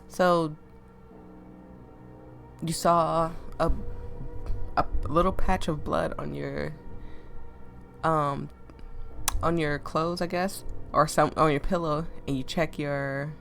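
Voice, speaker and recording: A woman with a deep voice that sounds sick, chatting casually and reading game text aloud as a let's play host, easily amused and stumbling over words. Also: gravelly. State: sick, voice affected.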